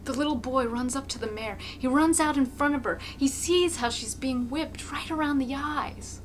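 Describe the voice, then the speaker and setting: A woman's voice: sits high, moderate pace, a little hiss or hum, poor audio. A woman amateur reader giving an intense, theatrical narration of a classic novel.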